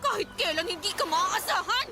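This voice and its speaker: A woman's voice: extremely high pitch, animated, moderate pace, music in the background. A woman playing a fed-up young mother in a radio drama, scolding her partner with exclamations and rhetorical questions. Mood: furious, scolding.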